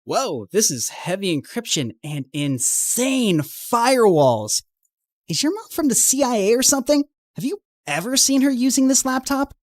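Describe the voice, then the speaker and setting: A woman voicing an earnest, anxious, devoted teenage boy in an animated story. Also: on the high side, normal speed, dry recording, clean studio sound. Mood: amazed.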